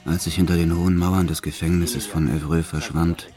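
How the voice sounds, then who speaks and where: An actor playing a violent career criminal who narrates his life in a radio play, brutal yet tender, in a measured, matter-of-fact way. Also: sits low, level tone, over music, close to an everyday voice. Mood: grim.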